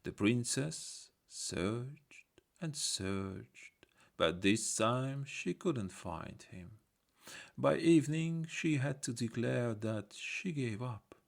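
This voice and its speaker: Man narrating a folktale, gravelly creaky voice. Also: mid-range voice, animated, extremely slow. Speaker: storyteller, audiobook narrator.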